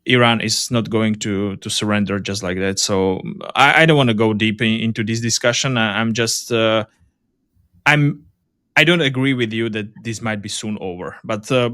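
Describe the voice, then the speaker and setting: Clear-voiced male host. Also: on the low side, normal speed, sharp tone, no echo, studio quality. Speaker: podcast host, interviewer. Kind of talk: casual interview.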